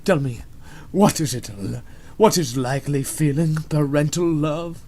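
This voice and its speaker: A man's voice: on the high side, a little slow, darkish tone, pitched above the performer's own voice. Man playing a sullen, brainy cartoon schoolboy. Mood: glum. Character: put-upon, resentful, brainy cartoon schoolboy.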